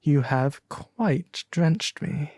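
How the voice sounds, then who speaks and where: A man voicing a vain, fussy but soft-hearted male swallow in a soft, theatrical storybook reading. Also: on the high side, animated, drawn-out words, no echo, clean studio sound, heavily acted. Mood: curious. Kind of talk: soft storybook character acting.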